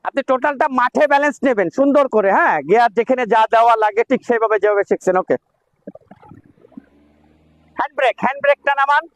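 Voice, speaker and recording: A man's voice: extremely high pitch, fast talker, pauses often, dry recording, rough recording. A Bangladeshi man presenting as a driving instructor in a promotional vlog, with a repetitive, slogan-like sales delivery.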